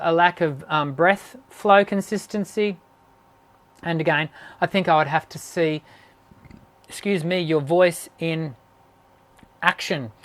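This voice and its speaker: Rambling woman vocal coach. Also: mid-range voice. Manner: meandering, thinks aloud, self-correcting. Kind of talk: live stream viewer Q&A.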